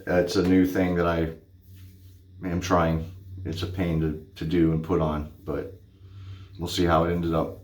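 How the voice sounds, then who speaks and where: A man's voice: low voice, level tone, a little slow, pauses often, darkish tone. A man in his thirties chatting casually to camera in a small home bathroom, goofy and hyper, often correcting himself. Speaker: shaving vlogger. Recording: echoey bathroom.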